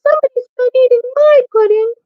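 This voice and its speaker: A woman voicing a wee, excitable little baby boy bear from a children's storybook, in a tiny, squeaky, child-like voice. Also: a little fast, no echo, dull audio. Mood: upset, plaintive. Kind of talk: children's storybook read aloud.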